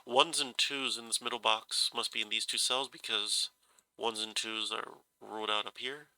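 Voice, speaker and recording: Brief: congested man solving a puzzle aloud, bright gravelly tone, dry close sound, halting and self-correcting. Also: on the low side, steady tone, dry recording, low-quality recording. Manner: hesitant, musing, self-correcting. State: stuffy nose, slightly scratchy voice from a cold. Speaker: puzzle solver.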